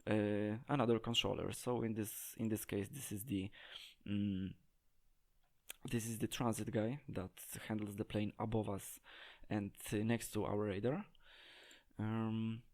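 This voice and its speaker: A man giving an unscripted gameplay tutorial, talking in a hesitant, halting way as he searches for words. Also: on the low side, flat delivery, vocal fry. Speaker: game tutorial presenter.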